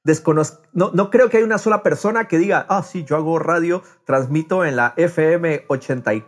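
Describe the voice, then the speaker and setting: A man's voice: on the high side, moderate pace. A man with a Latin American accent chatting casually and expressively on a podcast, often repeating words as he thinks aloud. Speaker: podcast host.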